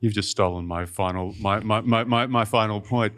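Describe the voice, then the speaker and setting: A man chairs a panel discussion, handing the floor to the speakers and wrapping up. His voice is very deep, and he is genial, tripping over repeated words as he talks. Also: normal speed, a bit bright. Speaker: panel moderator.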